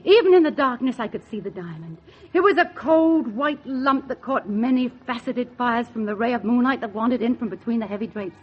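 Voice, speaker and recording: An actress as high-voiced scheming secretary, vintage radio. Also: female voice, lively intonation, fairly quick, slight background noise, natural voice. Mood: tense, triumphant. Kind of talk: 1940s radio acting, first-person crime narration. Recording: dull vintage 1940s radio recording.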